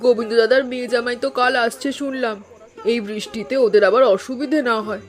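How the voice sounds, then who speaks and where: A woman voicing a caring, neighbourly village woman in an animated story, concerned and soothing in manner. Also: a little high, quick pace, soft background music, lightly acted. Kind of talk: Bengali animated story dialogue.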